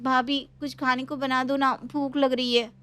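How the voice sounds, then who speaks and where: A woman's voice: high-pitched, little pitch movement, measured pace, bright, forceful tone, smooth, voice pushed up. A woman voicing a mischievous, demanding young sister-in-law with a playful, whiny manner in a family story.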